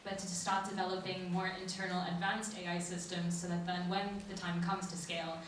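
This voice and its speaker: An articulate, enthusiastic woman answering on a live conference panel. Her voice sits mid-pitch and comes from a distance, with heavy room echo and a faint murmur of ambience behind. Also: level tone, fairly quick, a little hiss or hum. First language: English. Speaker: entrepreneur guest. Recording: echoey hall, voice from a distance.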